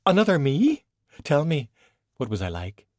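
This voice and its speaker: A man's voice: fairly high pitch, huge pitch swings, moderate pace, darkish tone, dry recording, clean studio sound, pitched above the performer's own voice. A sly, self-regarding woman mage performed by a male audiobook reader. The voice sits raised and moves theatrically, with an arch, drawling poise. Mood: delighted, astonished.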